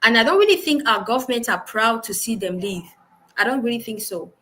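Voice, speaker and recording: A woman with a Cameroonian accent answering as an interview guest in a measured, careful, conversational way. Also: a little high, animated, a bit bright.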